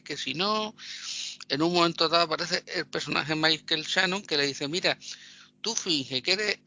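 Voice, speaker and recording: Gravelly, sarcastic man reviewing a film. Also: high-pitched, sharp tone. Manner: mocking, laughs often. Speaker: podcast co-host. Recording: dull lo-fi podcast audio.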